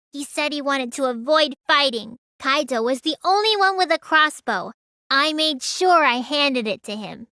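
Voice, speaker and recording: A woman voicing a small, childlike teenage girl mage in a video game, high and girlish, sulky and excitable with a whiny edge. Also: no echo, studio quality, exaggerated voice. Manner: whiny, stammers when startled. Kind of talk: English game dub voice lines.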